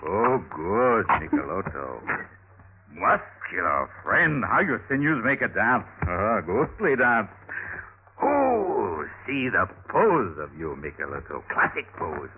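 A man's voice: lowish voice, big pitch swings, takes it slowly, breathy edge, exaggerated voice. Theatrical, gloating murderous Renaissance nobleman. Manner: theatrical, savoring each phrase. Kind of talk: vintage radio drama acting. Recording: tinny vintage radio recording, faint music.

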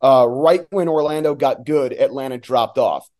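Mellow-voiced man talking soccer. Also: medium pitch, normal speed. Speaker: guest, radio play-by-play sports announcer. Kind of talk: casual sports talk, analysis.

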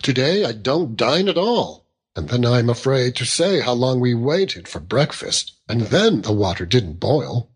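A man voicing a fond, earnest young Victorian husband who gently reproves and reasons, in a storybook reading. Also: medium pitch, very animated, measured pace, breathy edge. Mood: earnest, reproving.

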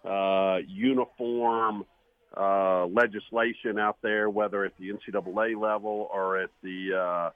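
Halting American man on phone-like audio. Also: on the low side, flat delivery, no echo. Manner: halting, hesitant phrasing. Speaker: university athletic director. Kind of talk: interview answer. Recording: thin, phone-like interview audio.

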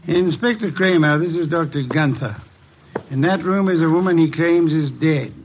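An actor playing a shrewd, self-assured detective in 1940s radio style, speaking in a pompous, precise, deliberate way. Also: male voice, a little high, a little hiss or hum, close to an everyday voice, low-quality recording. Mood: commanding, deductive. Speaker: lead detective. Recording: vintage radio drama recording.

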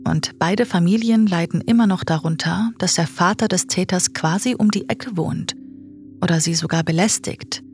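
A woman podcast host narrating in a calm, matter-of-fact way and chatting with a colleague. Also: fairly low pitch.

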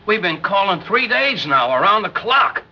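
An actor playing an excitable, blunt, jeering merchant sailor in an old-time radio drama. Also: male voice, sits high, normal speed. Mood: weary. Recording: dull, faintly noisy vintage radio recording.

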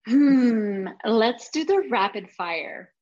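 A woman's voice: fairly high pitch, animated, slow pace, darkish tone. French Canadian woman, dull remote audio. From Quebec, Canada. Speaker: podcast guest, nutritionist. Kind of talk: casual interview chat.

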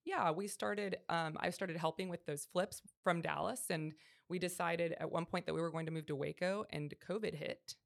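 Gravelly low-voiced woman guest. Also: fairly even intonation. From Colombia. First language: Spanish. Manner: friendly, measured, frequent "um" and "so". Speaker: real estate agent, guest. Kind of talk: interview answers. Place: studio.

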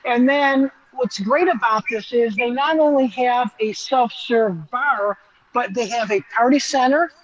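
A man's voice: very high-pitched, a little slow. A male roving reporter explains things in an enthusiastic, conversational way. His live feed sounds slightly dull and lo-fi.

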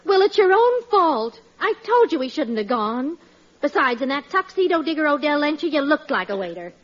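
Sensible, high-voiced radio sitcom wife. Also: female voice, very animated, moderate pace. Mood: exasperated. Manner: chiding yet soothing. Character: patient, sensible, mildly exasperated wife and mother. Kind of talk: 1940s radio sitcom acting. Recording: muffled old radio recording.

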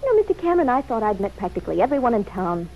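A woman's voice: fairly high pitch, big pitch swings, racing, a little mellow. An actress playing a poised young woman in an old radio serial, coolly ironic and polite, with teasing retorts. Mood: playful, teasing. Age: young adult. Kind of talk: 1940s radio serial acting. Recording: dull, lo-fi old radio recording.

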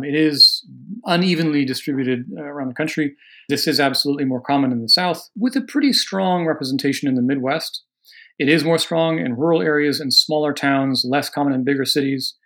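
An American man from Oregon, an army veteran, answering interview questions in a measured, earnest way that carefully qualifies each point. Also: a little high, a bit bright. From Oregon, USA. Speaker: guest author on religion and politics.

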